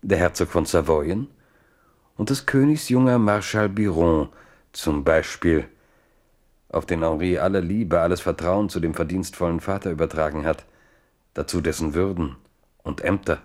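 A man playing the measured, serious narrator of a historical radio play. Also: sits low, darkish tone, close to an everyday voice.

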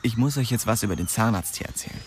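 A man's voice: lowish voice, fast talker, a little hiss or hum, close to an everyday voice. An actor playing a wry, streetwise young man narrating his own story in a radio drama. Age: young adult.

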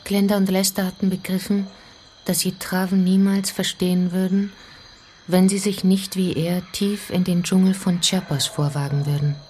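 An actress as the grave narrator of a radio play mystery, telling it in a measured, suspenseful way. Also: female voice, fairly low pitch, little pitch movement, a little mellow, a little hiss or hum, close to an everyday voice. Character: grave female storyteller of a mystery adventure. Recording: music under the voice.

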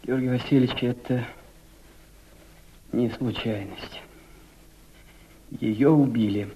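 An actor playing a devoted, sensitive young male aide in a Soviet radio play, emotive and halting. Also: mid-range voice, lightly acted. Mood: grief-stricken. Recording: muffled archival radio drama recording.

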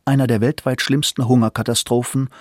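A man reads an official notice in a formal scripted style. His pitch is average. The sound is close and dry, with no room. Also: flat delivery, a little fast, no room sound. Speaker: announcer reading an official notice.

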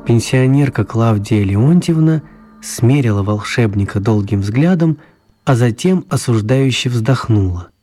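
A man's voice: medium pitch, animated, measured pace, warm tone. A man acting as the narrator of a children's story, in scripted storybook narration. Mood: storytelling. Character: male storyteller of a children's tale.

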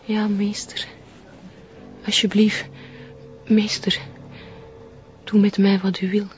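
A woman's voice: medium pitch, monotone, slow, over music, lightly acted. An actress playing a grieving, submissive young Flemish woman in a cult, meek in manner, in an audio drama. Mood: pleading, hushed. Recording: muffled, dull audio.

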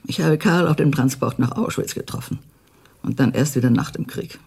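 An actress playing a grave, embittered elderly widow in a radio drama, with a husky, gravelly voice. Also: female voice, extremely low pitch, normal speed, darkish tone, heavily acted. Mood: grave, sorrowful. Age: older. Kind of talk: naturalistic radio drama acting.

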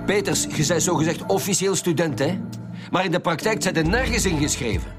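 A man's voice: sits high, brisk, music in the background, close to an everyday voice. A man playing a domineering, sarcastic police commissioner with a Flemish accent in a radio drama, impatient as he interrogates. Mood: stern, impatient. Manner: sardonic, impatient, mock-sweet.

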